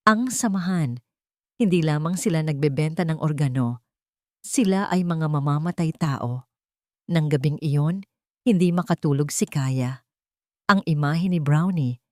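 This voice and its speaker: Woman narrator, dramatic emotive storytelling, lowish pitch, dry close sound. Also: darkish tone, dry recording.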